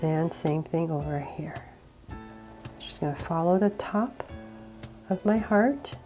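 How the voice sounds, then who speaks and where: A woman's voice: deep voice, slow pace, soft background music. Slightly sick woman teaching drawing. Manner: relaxed, with small laughs and self-corrections. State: sick, voice a bit off. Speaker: drawing tutorial host. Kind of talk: step-by-step drawing instruction.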